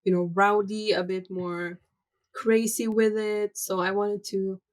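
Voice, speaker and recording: A woman on a podcast speaking in a flat monotone and at an unhurried, slow pace. Her replies are mostly short. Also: mid-range voice. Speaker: podcast co-host. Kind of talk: casual podcast chat.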